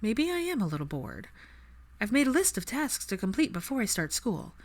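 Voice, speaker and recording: A woman's voice: medium pitch, big pitch swings, moderate pace, voice pushed up. A woman voicing an earnest, busy-minded young woman in an audiobook, eagerly explaining herself. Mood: sheepish.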